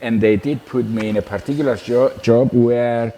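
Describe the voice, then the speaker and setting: An older man from Cyprus, recalling his life in an interview in a halting, repetitive way. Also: mid-range voice, dark tone. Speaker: interview guest. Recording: reverberant room with background noise.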